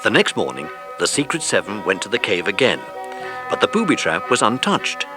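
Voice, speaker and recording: Casting: male narrator for a children's adventure audiobook, expressive and dramatic, very animated delivery with music behind. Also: lowish voice, brisk. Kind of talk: scripted children's story narration.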